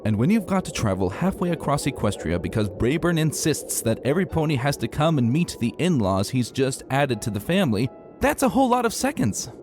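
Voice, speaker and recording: A man gives a dramatic reading as narrator. His mid-pitched voice moves animatedly, with colloquial emphasis, and light music plays underneath. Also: brisk. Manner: expressive, emphatic, colloquial delivery. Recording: soft music behind narration.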